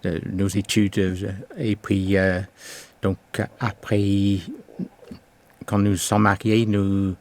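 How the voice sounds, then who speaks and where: Slow hesitant older man, English accent. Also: fairly low pitch, flat delivery, a little mellow. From England. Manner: slow, hesitant, many pauses and fillers. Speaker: pastor. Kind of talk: testimony interview.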